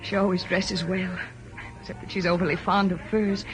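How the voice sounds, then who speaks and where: A woman's voice: lowish voice, little pitch movement, close to an everyday voice, low-quality recording. Actress as fretful, hesitant young woman client. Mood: worried, earnest. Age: young adult. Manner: hesitant, halting, polite. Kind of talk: 1940s radio acting. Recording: old radio recording with music behind.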